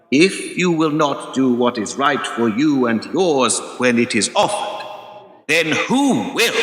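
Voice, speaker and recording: An actor playing a slick, hard-nosed investment company man making a formal, measured and pointed public speech in a radio play. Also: medium pitch, sharp tone. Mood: challenging, stern. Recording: echoey hall with long reverb.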